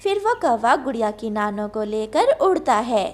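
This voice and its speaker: A woman's voice: fairly high pitch, big pitch swings, moderate pace. A woman reading scripted narration for a children's cartoon story.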